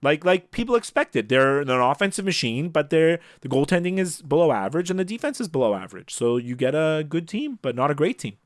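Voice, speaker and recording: A man's voice: a little high, big pitch swings, fairly quick, few pauses, no echo. A man co-hosting a casual sports podcast, chatting on a close mic over a video call.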